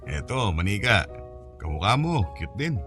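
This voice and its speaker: A man's voice: fairly low pitch, sing-song, moderate pace, fairly bright tone, faint music behind. An actor playing an awkward, casually friendly Filipino man visiting a child's home, hesitant in an audio drama. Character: awkward adult male visitor, mother's lover, casually friendly. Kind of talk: acted audio drama dialogue.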